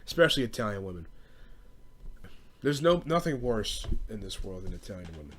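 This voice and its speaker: A man's voice: lowish voice, big pitch swings, fairly quick, slight background noise. A young American man hosting a podcast, rambling casually and comedically in an exasperated way, with plenty of swearing and bro slang. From United States. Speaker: podcast host.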